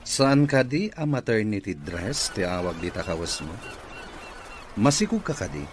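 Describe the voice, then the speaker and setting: A man's voice: lowish voice, very animated, normal speed, slight background noise. A man acting a blunt, stern office boss in an Ilocano radio comedy drama.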